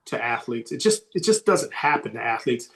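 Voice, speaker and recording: Emphatic man, voice going slightly hoarse. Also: medium pitch, sing-song, moderate pace. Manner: emphatic, repeats key points for stress. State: slightly hoarse, losing his voice. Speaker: sports recruiting coach, guest. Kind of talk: podcast interview answers.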